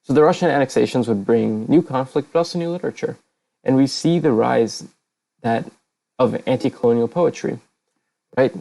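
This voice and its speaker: A man's voice: mid-range voice, moderate pace, gravelly, mellow, low-quality recording. A man presenting a casual slide lecture over a voice channel, hesitant, with many fillers and self-corrections. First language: not Kazakh. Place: online voice channel talk.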